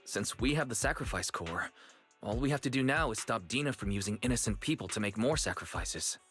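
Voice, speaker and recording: A man's voice: mid-range voice, expressive, fairly quick, fairly bright tone, faint music behind, natural voice. An actor playing a terse, serious male fighter in an anime-style game, matter-of-fact and businesslike. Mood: serious, focused. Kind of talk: video game voice acting.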